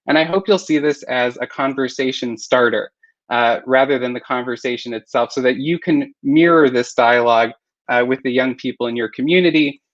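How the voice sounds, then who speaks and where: A man hosts a youth panel over a video call and introduces the speakers one after another. His voice sits at an ordinary middle pitch. The sound is dry and a little dull, with no room around it. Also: moderate pace, no room sound. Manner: steady, with frequent "uh" fillers. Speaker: panel moderator. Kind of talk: moderating an online panel.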